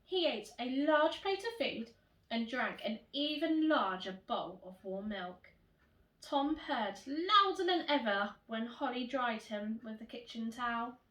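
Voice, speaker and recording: In an echoey room, a woman reads a storybook to young children. Her fairly high voice moves with lots of expression and variety, like a primary teacher addressing her pupils. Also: lively intonation, a little slow, fairly bright tone. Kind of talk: animated children's storybook reading. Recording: roomy echo, distant microphone.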